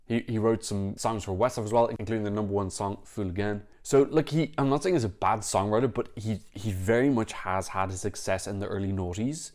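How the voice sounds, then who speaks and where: Low-voiced man giving blunt opinions. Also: a little mellow. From Ireland. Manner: frank, critical, talks in quick bursts with restarts. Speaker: Eurovision reaction vlogger. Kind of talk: opinionated casual commentary to camera.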